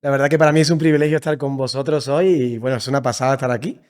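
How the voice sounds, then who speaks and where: A man in his thirties with an Andalusian Spanish accent, telling his story as a podcast guest in a lively, repetitive way. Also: mid-range voice, racing. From Seville, Andalusia. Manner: warm, enthusiastic storytelling with frequent repetitions. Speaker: podcast guest, church leader. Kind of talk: casual conversational interview. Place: creative studio in Madrid.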